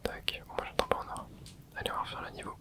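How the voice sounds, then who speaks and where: A host whispering casual gameplay commentary, rambling and thinking aloud with many hesitations. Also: fast talker. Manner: casual, hesitant, frequent filler words. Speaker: gaming channel host.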